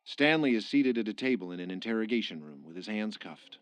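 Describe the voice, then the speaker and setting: A man's voice: on the low side, lively intonation, fairly quick, no room sound, lightly acted. A man reading scripted scene-setting narration for a radio drama. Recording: faint background noise.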